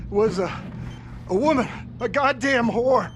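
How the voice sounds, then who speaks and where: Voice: mid-range voice, a little slow, noisy background, close to an everyday voice. An actor playing a distraught, angry injured judge in a dramatic video game scene. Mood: panicked, furious. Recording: loud music behind voice.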